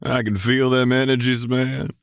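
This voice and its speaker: A man's voice: medium pitch, flat delivery, normal speed, vocal fry, clear, resonant tone, no echo, clean studio sound, natural voice. A man voicing a laid-back, sly but friendly young stoner with a languid, slangy drawl, in an audio story reading. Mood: mellow.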